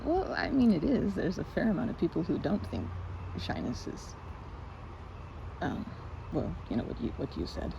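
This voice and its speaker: A woman's voice: fairly low pitch, very animated, moderate pace, gravelly, long pauses. A woman playing a timid, sweet, awkward young woman in an ASMR roleplay, stammering and hesitant, with a giggly, flustered manner. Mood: shy, flustered. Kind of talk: ASMR roleplay, improvised-sounding one-sided conversation. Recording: muffled with loud outdoor ambience noise.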